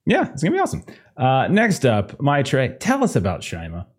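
A man's voice: medium pitch, wildly swooping pitch, moderate pace, breathy edge. Rambling man hosting a tabletop game. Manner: rambling, many fillers, laughs at own jokes. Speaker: game master. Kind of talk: casual chat opening a tabletop game stream.

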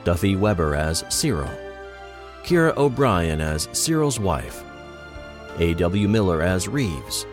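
A man with a very low voice announces scripted credits. His delivery moves expressively over clear background music. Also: sing-song, slow, pauses often. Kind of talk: scripted narration.

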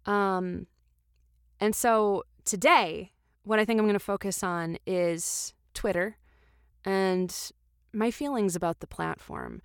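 A woman acts out a supportive best friend co-hosting a book podcast in an improv audio comedy. Her voice is smooth and clean, recorded dry and close. She talks casually, feeling her way through sentences. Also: medium pitch, no room sound, close to an everyday voice. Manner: casual, halting, chatty. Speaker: book review podcast co-host.

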